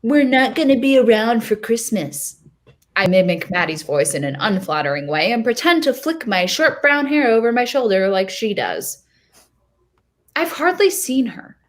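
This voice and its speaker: A woman narrating a short story in the first person as a lovesick, insecure young woman, earnest and expressive. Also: on the high side, moderate pace, natural voice. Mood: frustrated, hurt.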